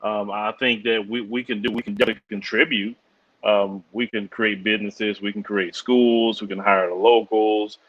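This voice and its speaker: A man's voice: lowish voice, level tone, moderate pace. Polite man on a dull call line. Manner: polite, hesitant, many restarts and fillers. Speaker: caller, fellow channel host. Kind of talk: call-in conversation.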